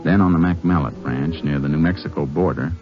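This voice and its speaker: An actor playing a laconic, shrewd Texas Ranger in an old-time radio western, with an American accent and terse, folksy cowboy talk. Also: male voice, extremely low pitch, a little fast. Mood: terse, determined. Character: laconic Texas Ranger, shrewd, steady lawman posing as drifter. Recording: muffled vintage radio recording, music behind.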